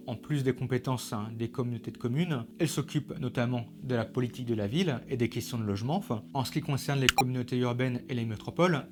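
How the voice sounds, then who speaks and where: A man reads scripted educational narration in a didactic, structured way of explaining. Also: mid-range voice. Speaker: presenter of an explainer video. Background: background music.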